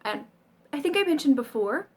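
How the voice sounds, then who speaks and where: A woman's voice: sits high, expressive, normal speed. A middle-aged American woman chatting casually to camera about learning Chinese, rambling and self-deprecating, with frequent ums and little laughs. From United States.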